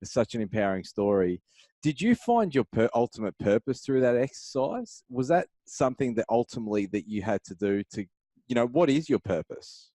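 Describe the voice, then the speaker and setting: Podcast host guy, mellow low voice, dry sound, asks careful questions. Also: sing-song, brisk, no echo. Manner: grateful, probing questions. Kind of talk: interview questions.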